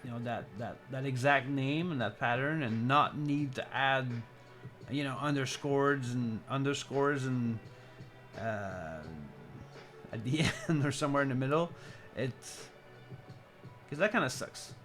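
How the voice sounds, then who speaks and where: Male streamer, forties, mid-range voice, loose halting chat, faint music behind. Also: mid-range voice, a bit bright. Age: 40s. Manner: halting, rambling, repeats words, chuckles. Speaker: live streamer. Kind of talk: casual chat with stream viewers.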